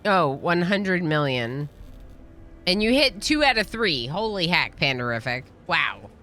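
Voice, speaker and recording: A woman game streamer with a fairly low, bright, forward voice. She talks casually and chattily to her viewers while she plays a role-playing game. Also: big pitch swings, unhurried. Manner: chatty, reads game dialogue aloud.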